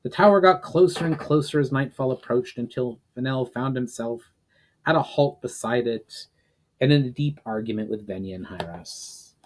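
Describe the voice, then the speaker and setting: A man's voice: medium pitch, normal speed. A man giving a dramatic scripted narration of a fantasy story as a storyteller. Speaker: author and storyteller.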